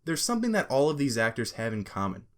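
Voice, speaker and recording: A man's voice: mid-range voice, big pitch swings, fast. A young man hosting a casual scripted gaming commentary, sarcastic and joking, with profane asides. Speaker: video essay host.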